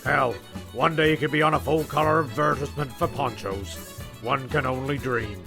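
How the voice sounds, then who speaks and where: A man acting as a self-important radio-play narrator, creaky-voiced over clear music. He rambles, restarts and grumbles testily through his storytelling. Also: medium pitch, moderate pace, lightly acted. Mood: rambling storytelling.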